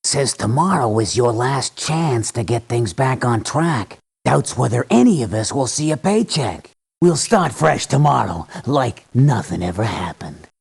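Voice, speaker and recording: An actor voicing a gruff, weary mercenary for hire in a video game, with terse, gravelly lines. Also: male voice, mid-range voice, expressive, unhurried, a little mellow, exaggerated voice. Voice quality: gravelly, husky.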